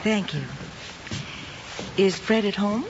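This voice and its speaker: An actress playing a composed, concerned schoolteacher in an old screen drama, speaking in a measured, tactful way. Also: female voice, mid-range voice. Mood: polite. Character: composed, probing female schoolteacher, concerned and fair. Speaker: teacher. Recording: muffled, noisy old film soundtrack.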